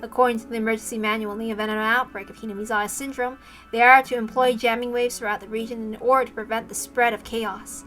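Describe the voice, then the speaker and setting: A woman's voice: a little high, level tone, quick pace, a bit bright, faint music behind. A woman reading a visual novel aloud, informal and self-correcting, mixing narration with casual asides.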